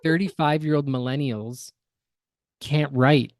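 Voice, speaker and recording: A man's voice: on the high side, a little slow. A man hosting a podcast with casual, critical and opinionated reaction commentary. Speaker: podcast host.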